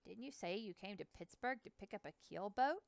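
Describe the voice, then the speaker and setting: A woman's voice: mid-range voice, lively intonation, normal speed, creaky, no echo. A woman voicing a plainspoken, proud male river dog breeder with a folksy way of talking. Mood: skeptical.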